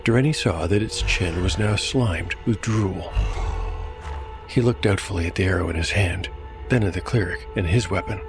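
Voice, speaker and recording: Low-voiced male narrator over music. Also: moderate pace. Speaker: narrator and host. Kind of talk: scripted narration.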